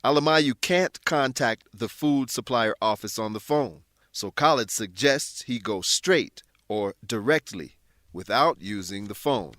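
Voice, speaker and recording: A man's voice: mid-range voice, lively intonation, fairly bright tone, no echo. Male English teacher narrating, dry studio sound. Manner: instructional, deliberate word-by-word explanations. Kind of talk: scripted educational narration.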